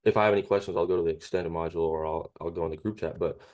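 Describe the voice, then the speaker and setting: A young man around twenty answers interview questions in a deep voice. He speaks casually and a bit haltingly, often tacking short questions onto his sentences. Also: steady tone, a little mellow. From California, United States. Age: about 20. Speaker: interviewee, young cleaning business owner. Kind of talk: casual chat.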